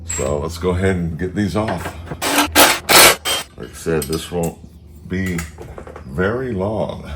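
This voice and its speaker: A man's voice: low voice, expressive, takes it slowly, long pauses, heavy background noise. A man with a stuffed-up nose from allergies, hosting a casual how-to vlog in his garage and thinking aloud with fillers. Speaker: car channel host. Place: home garage beside a car.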